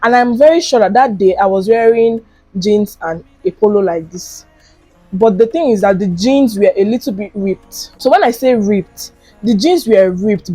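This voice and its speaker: A woman's voice: mid-range voice, dark tone, husky, dry recording, rough recording. Chatty young Nigerian woman vlogger. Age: young adult. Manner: chatty and dramatic, acting out quoted lines. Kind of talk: casual storytime while doing makeup.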